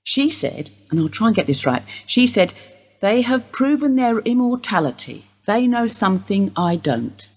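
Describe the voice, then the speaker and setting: A woman acting in a radio play as a weary, evasive older wife under suspicion, husky and rambling in an understated way. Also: mid-range voice, big pitch swings, normal speed, a little mellow. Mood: wistful, evasive.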